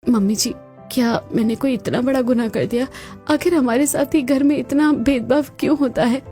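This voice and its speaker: A woman's voice: a little high, normal speed, warm tone, soft background music, close to an everyday voice. A woman playing a capable, long-suffering young daughter-in-law in a cartoon story. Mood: hurt, indignant.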